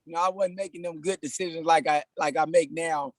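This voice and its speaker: A man in his thirties from California, a personal trainer talking about his life as a podcast guest in a relaxed, casual way, often saying "man". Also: on the high side, fairly quick, no room sound. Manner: laid-back, frequent "man" and restarts. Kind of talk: casual conversational interview.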